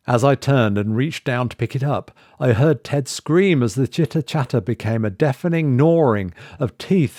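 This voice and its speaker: A man narrating a horror story in a measured, suspenseful way. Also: medium pitch, warm tone, no room sound, studio quality. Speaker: story reader.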